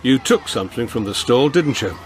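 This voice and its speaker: An actor playing an older, earnest British police constable in a radio drama, questioning firmly but patiently. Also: male voice, medium pitch, expressive, a little fast, a little hiss or hum, close to an everyday voice.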